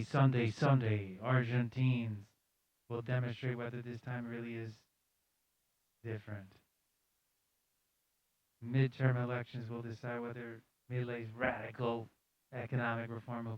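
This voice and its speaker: A man's voice: medium pitch, no echo, lo-fi sound. A man hosting a live news show, casually reading articles aloud with jokey, slangy, sardonic commentary.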